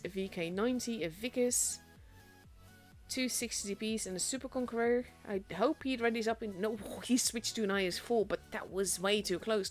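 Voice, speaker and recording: A young Dutch woman, a native Dutch speaker, casting a tank game solo in a chatty, self-deprecating way that grows excited at big plays. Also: medium pitch. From Netherlands. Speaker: esports caster.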